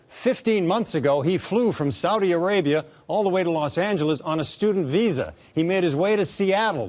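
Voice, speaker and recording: Brief: male news narrator, measured, slightly high voice.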